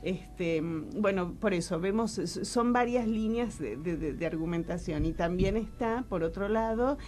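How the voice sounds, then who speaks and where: A woman with an Argentine Rioplatense accent chatting as an interview guest, expressive and effusive, often repeating words as she searches for the next. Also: fairly low pitch, measured pace, slight background noise. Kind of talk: casual radio interview chat.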